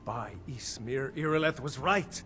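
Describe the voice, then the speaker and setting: An actor playing a stern middle-aged Jarl in a fantasy video game, speaking formally and with authority. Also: male voice, mid-range voice, lively intonation, a little slow, pushed and bright, slight background noise. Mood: stately, grave.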